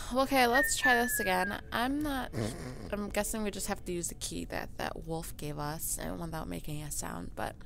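A woman commentating on her let's play, a little raspy from just waking up, playful and mock-outraged at the game. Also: mid-range voice, normal speed, hardly any pauses, background noise. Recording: game music loud under her voice.

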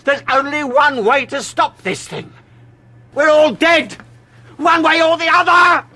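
An actor playing a feverish research scientist half-crazed with dread, halting and intense, in a radio drama. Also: male voice, very high voice, sharp tone, full character voice. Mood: manic despair.

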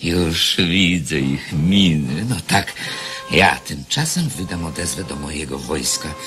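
A man's voice: rumbling low, lively intonation, slow, over music, full character voice. An actor playing a sly, domineering enemy king in a radio drama, with a mocking, commanding, theatrical delivery. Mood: gleeful, commanding.

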